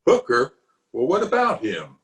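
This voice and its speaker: A man's voice: mid-range voice, wildly swooping pitch, fairly bright tone. A man playing a blustery, genial middle-aged small-town uncle in a pompous, theatrical 1940s radio comedy style. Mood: jovial, pompous. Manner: pompous, theatrical, with drawn-out hesitations and chuckles. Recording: music behind the voice, remote home recording.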